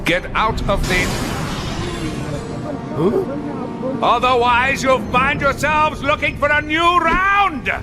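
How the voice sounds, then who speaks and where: A man's voice: very high-pitched, lively intonation, takes it slowly, long pauses, full character voice. A man voicing a pompous, gloating conqueror villain in a video game, with theatrical delivery. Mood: smug menace. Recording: loud music behind.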